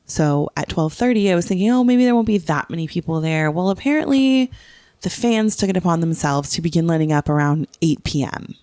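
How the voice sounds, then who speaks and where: A woman's voice: on the low side, animated, fairly quick, warm tone, no room sound, studio quality. A woman co-hosting a podcast, recounting things in casual, conversational storytelling. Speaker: podcast co-host. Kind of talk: casual chat, recounting a trip.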